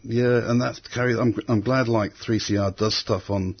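A man in his sixties, raised in England, thoughtfully recalling his past in an interview, with frequent pauses and restarts. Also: medium pitch, steady tone, slightly rough. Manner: hesitant, many restarts and fillers. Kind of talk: reflective conversational storytelling. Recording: dull lo-fi recording.

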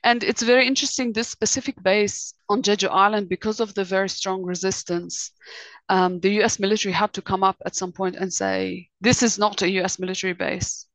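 A woman's voice: medium pitch, steady tone, brisk, no echo, poor audio. A woman from Lebanon in her thirties, a researcher answering interview questions thoughtfully, often restarting her sentences. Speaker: guest researcher. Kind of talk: podcast interview.

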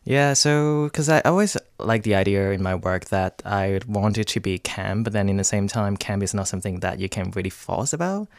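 A man's voice: fairly low pitch, animated, moderate pace, few pauses, no room sound. A man from Hong Kong, with a Hong Kong accent, explaining things casually as a guest in a studio interview. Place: radio studio.

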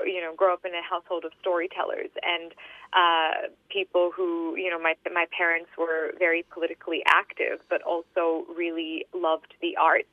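A woman in her thirties from Australia, a poet answering interview questions with many fillers and restarts, then reading her poems in a steady, measured way. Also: low voice, dry recording. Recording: tinny phone-line sound.

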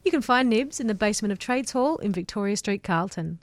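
A woman's voice: mid-range voice, lively intonation, fairly quick, dry recording. Woman announcer, scripted sponsor read, dry close mic. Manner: clear, scripted delivery. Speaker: station announcer.

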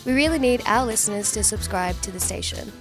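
A woman's voice: medium pitch, fairly quick. A woman reading a scripted community radio promo over music.

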